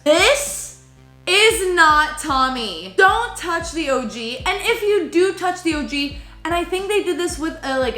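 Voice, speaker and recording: A young woman talks straight to the camera in a chatty, animated way, with playful sarcasm running through it. Her voice sits a little high and sounds bright and forceful. It is recorded in an echoey room with faint music underneath. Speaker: vlogger. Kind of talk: casual opinion vlog, ranking shows.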